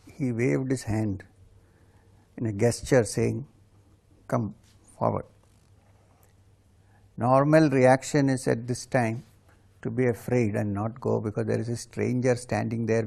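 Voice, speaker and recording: Gravelly male spiritual teacher, Urdu home language, recounting his life in a calm, unhurried way. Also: medium pitch. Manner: calm, measured storytelling with pauses.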